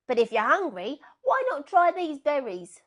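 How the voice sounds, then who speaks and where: A woman's voice: high voice, very animated, pitched above the performer's own voice. A woman voicing a cheerful, bossy female sheep in a children's storybook, calling out instructions. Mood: firm, cheerful. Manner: loud, brisk instructions, exclamatory.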